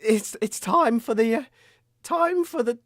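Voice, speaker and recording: Man acting as ailing radio host, very high voice, slow and faltering, melodramatic, comedy acting. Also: expressive, no echo, studio quality. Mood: feeble, struggling. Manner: faltering, halting delivery.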